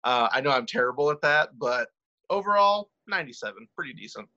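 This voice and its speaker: Guy cohosting a sports podcast, bright punchy voice, kinda muffled lo-fi audio, rambles on. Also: mid-range voice, animated, a little fast. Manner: chatty, self-deprecating, rambling with fillers. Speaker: podcast co-host. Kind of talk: casual chat.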